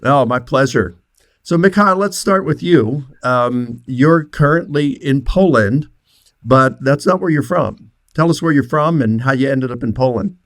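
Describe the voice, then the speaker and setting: A man works as host of a relaxed interview, speaking as a professor to his guests. His voice sits at a middle pitch and is recorded close and dry. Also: unhurried, dry recording. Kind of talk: casual interview introduction.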